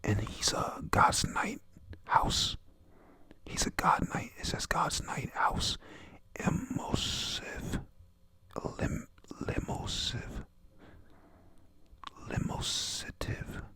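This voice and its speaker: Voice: drawn-out words, long pauses, sharp tone. A speaker whispering a casual recap of a comic in the style of ASMR, hesitant and stumbling over names.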